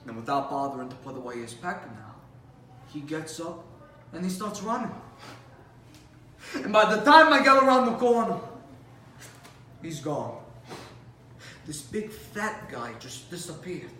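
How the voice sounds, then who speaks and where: A man acts out a world-weary police officer recounting life on the beat in a dramatic monologue. His voice is fairly high and forceful, conversational yet theatrical, with deliberate pauses. It is picked up from a distance in a roomy space. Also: sing-song, heavily acted. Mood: incredulous, amused. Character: world-weary male police officer telling beat stories, animated, incredulous. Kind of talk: competitive dramatic monologue performance. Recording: roomy, distant mic with faint noise.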